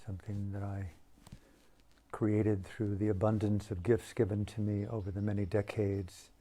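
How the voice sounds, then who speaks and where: Guy doing a guided meditation, really flat pitch, soft dark tone, hushed short phrases w long pauses. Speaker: meditation teacher leading a guided meditation.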